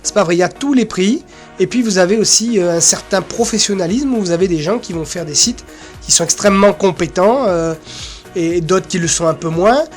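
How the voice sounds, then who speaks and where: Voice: mid-range voice, brisk, soft background music. A presenter giving an informal, unscripted screen-share walkthrough, rambling casually with little filler sounds. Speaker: presenter of an association's website.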